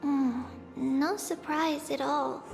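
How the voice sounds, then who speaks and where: A woman playing a composed young girl guide in a game, measured, knowing and earnest. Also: high-pitched, fairly even intonation, vocal fry, big-room sound, music in the background, exaggerated voice. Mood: calm, solemn. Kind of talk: dramatic game voice acting.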